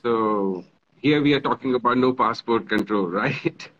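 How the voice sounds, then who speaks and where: A friendly man in his forties joins a video call from his phone, listing things off with plenty of fillers. His voice sits at a middling pitch, and the phone audio is slightly dull. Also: a little slow, a bit bright. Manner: friendly, runs through lists with fillers. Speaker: violinist and composer. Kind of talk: casual video-call interview.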